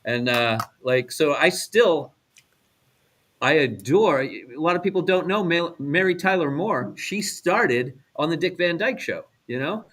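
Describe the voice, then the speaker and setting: A man's voice: on the high side, animated, measured pace, fairly bright tone. A middle-aged American man co-hosting a podcast, telling casual anecdotes in short conversational phrases. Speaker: podcast co-host.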